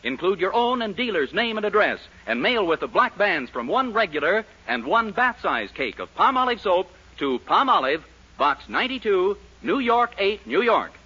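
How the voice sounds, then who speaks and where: Casting: American male announcer, 1940s radio, high animated voice, emphatic sales pitch, dull vintage recording. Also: normal speed, husky. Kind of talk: 1940s radio announcing, scripted commercial and narration.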